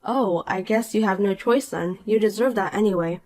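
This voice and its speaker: A woman voicing a calm, cool married young woman in a dramatized story, dry and patient. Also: medium pitch, normal speed, natural voice, low-quality recording.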